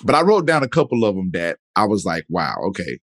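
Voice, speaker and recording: A low-pitched man hosts a podcast chat in a loose, easygoing way, circling back and restarting his sentences often. The sound is dry and close, with no room around it. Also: brisk, dry recording. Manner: relaxed, rambling, many fillers and restarts. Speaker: podcast host. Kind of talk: casual interview chat.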